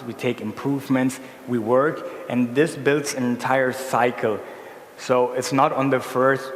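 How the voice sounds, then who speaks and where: Man presenting in an echoey conference hall. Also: mid-range voice. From Brazil. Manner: self-correcting, explaining slides. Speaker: conference speaker. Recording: echoey hall with faint room noise.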